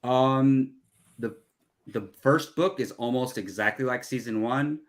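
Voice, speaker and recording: A man's voice: medium pitch, unhurried. A man chatting casually as a movie show panelist, easygoing and informal. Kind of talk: casual chat.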